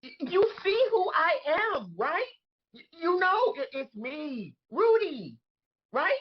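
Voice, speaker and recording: A woman playing an aggrieved, bewildered disgraced crony with a husky voice in a comedic table read. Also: very high voice, very animated, slow, muffled recording, full character voice. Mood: exasperated. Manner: indignant, emphatic, dramatic. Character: Rudy, aggrieved, bewildered disgraced man trapped in woman's body.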